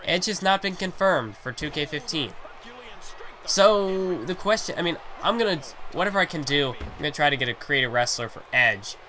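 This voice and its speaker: Hoarse man rambling through game commentary. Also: a little high, expressive, moderate pace, pushed and bright. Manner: rambling, heavy on fillers like "you know". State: hoarse, losing his voice. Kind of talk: play-by-play wrestling game commentary.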